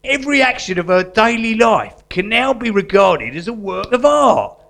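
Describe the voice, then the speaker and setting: Guy doing fretful arty convict, high voice goes up and down loads, stammery, coaxing, stage comedy. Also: very animated, normal speed, a bit bright, full character voice. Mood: pleased, eager. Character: Bern, earnest, fretful guerilla artist prisoner, man. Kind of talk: live stage comedy acting. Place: live performance venue.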